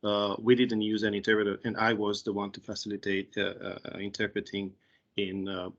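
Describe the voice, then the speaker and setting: A man's voice: fairly low pitch, completely flat delivery, moderate pace. A man in his thirties with a Romanian accent, an interpreter speaking hesitantly on a video call. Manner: hesitant, many fillers and restarts. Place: small room indoors. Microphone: video call webcam. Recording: dull lo-fi video call audio.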